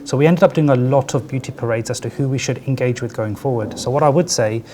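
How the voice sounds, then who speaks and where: Soft-voiced man giving a conference talk. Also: mid-range voice, fast talker, slight background noise. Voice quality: soft, quiet voice. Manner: conversational, tag questions like "right?" and "yeah?". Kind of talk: conference presentation. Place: conference venue with audience.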